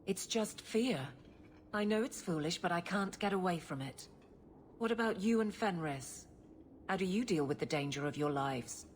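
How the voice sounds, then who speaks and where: A proud female guard captain played by an actress speaks in a fairly low voice for a video game. She is blunt but easily flustered, halting and awkward when romance comes up. There is noticeable noise behind her. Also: lively intonation, close to an everyday voice. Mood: anxious, frustrated. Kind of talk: video game cutscene acting.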